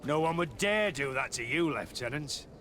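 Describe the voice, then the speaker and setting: High-voiced, servile guard underling. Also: male voice, lively intonation, normal speed, fairly bright tone, a little hiss or hum. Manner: deferential, ingratiating. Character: obsequious, eager male guard underling, crony. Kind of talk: video game voice acting.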